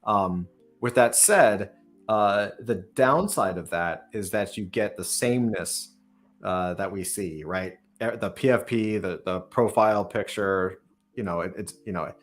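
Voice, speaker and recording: Man, low voice, interview host, casual, rambling, thinking aloud, faint music behind. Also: measured pace. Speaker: podcast host and startup founder interviewing a guest.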